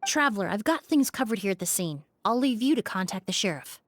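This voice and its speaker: A woman voicing a composed, gentle young female investigator in a video game, speaking politely and precisely. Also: medium pitch, no room sound, close to an everyday voice. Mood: solemn, composed. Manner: calm, polite, measured.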